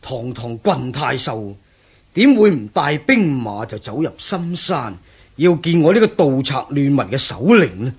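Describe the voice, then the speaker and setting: Radio drama bandit leader: extremely rough voice, slow but animated, wary, blunt, Hong Kong Cantonese. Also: male voice, mid-range voice, heavily acted. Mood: puzzled, wary. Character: gruff, wary male bandit chief in a mountain stronghold.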